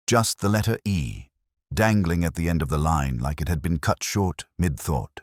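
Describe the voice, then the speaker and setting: A man playing a reflective, melancholy police inspector, narrating a mystery audio drama in a measured, literary way. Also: deep voice, no echo, studio quality, exaggerated voice.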